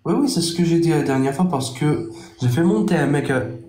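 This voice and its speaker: A man's voice: on the high side, expressive, fairly quick, darkish tone, echoey room. A man hosting a casual live-stream chat, blunt and self-assured. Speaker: TikTok live host.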